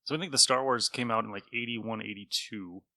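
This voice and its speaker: A man's voice: on the low side, brisk, gravelly, sharp tone, no room sound. A man hosting a podcast in a casual, conversational style. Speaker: podcast host. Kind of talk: casual chat.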